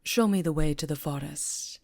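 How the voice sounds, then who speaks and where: A woman's voice: low voice, normal speed, a little mellow, no echo, clean studio sound. A woman voicing a regal, sly vampire countess in an ASMR fantasy roleplay, formal and savoring her words with faint menace. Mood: playful, seductive.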